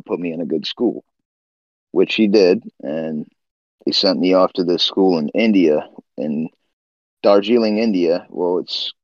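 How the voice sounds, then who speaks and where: A man from Nepal, a guest on an interview podcast, telling his life story in a relaxed, chatty way with frequent "uh" and "you know". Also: low voice, no room sound, muffled recording. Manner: laid-back, laughs often, trails off with "uh" and "you know". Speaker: guest, swimbait builder.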